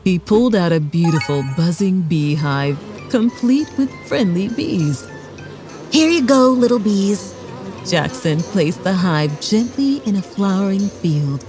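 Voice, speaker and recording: A woman's voice: fairly low pitch, animated, unhurried, hardly any pauses, music in the background. A woman reading a scripted children's story aloud as narrator, expressively, for young children.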